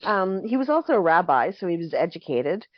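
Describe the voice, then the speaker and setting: A woman co-hosting a podcast, a pastor, slightly hoarse, explaining at length and correcting herself as she goes. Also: lowish voice, sing-song, brisk, dry recording, lo-fi sound. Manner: explains at length, thinking aloud with frequent self-corrections. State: a little hoarse. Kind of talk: casual explanatory chat.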